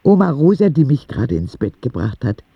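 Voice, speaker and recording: A childlike, frank letter-writer in a radio drama. His narration is matter-of-fact but very animated in pitch, with plenty of creak. It sounds slightly muffled. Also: a little fast, no echo. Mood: frank, content. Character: frank, childlike ten-year-old boy writing letters to God.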